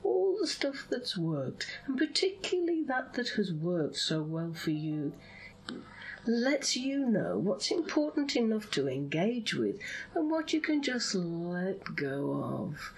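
A woman hypnotherapist guiding a visualization in a soothing, drawn-out way, often slipping into a breathy whisper. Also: medium pitch, very animated, slight background noise, poor audio. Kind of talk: hypnosis session, guided visualization.

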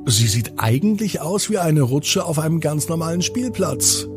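A man's voice: fairly high pitch, lively intonation, normal speed, over music. A man telling a bedtime story for children, expressively and addressed straight to the young listener.

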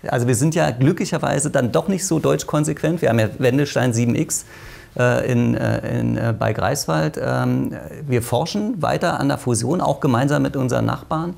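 A man's voice: medium pitch, moderate pace, creaky, darkish tone. A man from Berlin, a physicist and politician, talking as a guest on a panel with many restarts and hesitations. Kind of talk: discussion panel.

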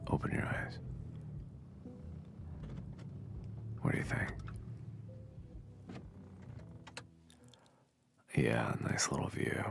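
A man acting out a teasing, tender young date in an ASMR roleplay. His voice is extremely low and full of heavy fry, often sinking into a whisper. He murmurs softly and reassuringly, close and intimate. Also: heavily acted. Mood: soft, coaxing. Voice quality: breathy whisper, gravelly.

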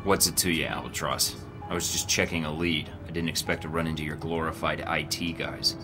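An actor playing a cool, sardonic young field agent in a video game, with dry, clipped delivery. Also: male voice, very deep voice, steady tone, brisk, over music. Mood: confrontational. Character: cool sardonic male rookie secret agent.

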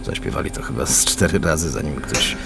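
A man's voice: extremely low pitch, animated, a little fast, gravelly, over music, full character voice. An actor playing a polite, earnest policeman loyal to his men, speaking formally and hesitantly in a radio drama. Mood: matter-of-fact.

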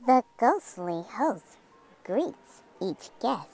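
A woman reading a rhyming children's picture book aloud in a dramatic, theatrical way, drawing out words and dropping into hushed phrases. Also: on the high side, big pitch swings, very slow. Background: music.

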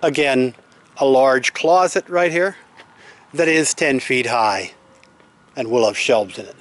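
A man's voice: mid-range voice, takes it slowly. A man giving an unscripted, explanatory walk-through tour in a matter-of-fact way, speaking outdoors into a handheld camera. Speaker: homeowner giving a house tour. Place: outdoors in an open timber-framed house under construction. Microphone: handheld camera, held by the speaker.

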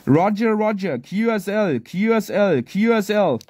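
A high-pitched, soft-toned man operates a ham radio outdoors. He speaks in clipped, repeated contest exchanges and phonetic spelling, over faint noise. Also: animated, a little slow. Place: outdoors at a garden picnic table.

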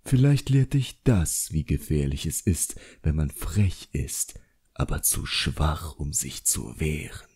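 Arrogant young male wizard, acted by a male narrator, cruel, mellow voice, slow savouring delivery, audiobook villain. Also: a bass voice, sing-song, slightly rough, voice pushed down, heavily acted. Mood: menacing, quiet. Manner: slow, condescending, savouring each word. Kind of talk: audiobook character acting.

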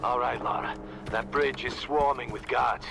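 A man's voice: mid-range voice, fairly even intonation, moderate pace, vocal fry, very noisy, lightly acted. A man playing a protective middle-aged expedition mentor in a dramatic video game, commanding yet reassuring. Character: steady, protective seasoned male expedition mentor. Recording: loud music behind game dialogue.

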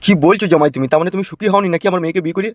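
A man plays a jovial older village father-in-law from a comedy cartoon. His folksy, indulgent talk races along at a slightly high pitch for a man, on a dull, lo-fi recording. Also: very fast, no echo, lightly acted.

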